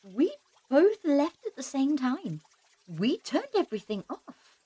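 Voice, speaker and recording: A woman's voice: sits high, sing-song, slow, dark tone, exaggerated voice. A young woman playing a defensive, self-deprecating botany student in an audio drama.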